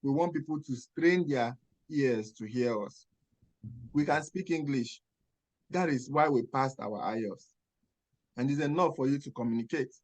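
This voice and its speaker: A man's voice: medium pitch, measured pace, pauses often, rough recording. A man with a Nigerian accent, a nurse mentor giving advice on a video call and stressing points with checks like "Okay?". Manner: emphatic, checks in with "Okay?" and rhetorical questions.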